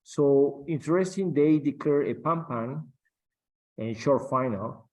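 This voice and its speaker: A middle-aged man whose first language is Spanish speaks slowly and haltingly, with many fillers and restarts. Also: medium pitch, a little mellow, rough recording. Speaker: guest captain. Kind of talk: interview on aviation.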